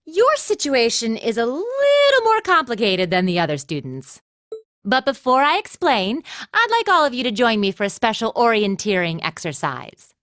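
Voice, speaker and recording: A woman's voice: a little high, big pitch swings, fairly bright tone, dry recording, studio quality. An actress voicing a breezy, playful, confident young female instructor addressing students in a video game. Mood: perky, teasing. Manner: perky, teasing, drawn-out words.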